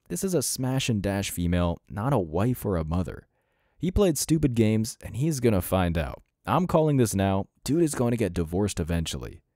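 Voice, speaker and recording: Dry-recorded man, mocking and mellow. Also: on the low side, no room sound. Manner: mocking, sarcastic, blunt. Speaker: commentary show host. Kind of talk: scripted reaction commentary.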